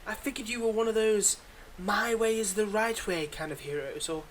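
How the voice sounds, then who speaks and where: A man's voice: high voice, normal speed, a bit bright, slight background noise, rough recording. A man playing a weary, wry supervillain with a secretly kind side, talking conversationally and a little hesitantly in an intimate roleplay audio monologue. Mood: surprised, hopeful.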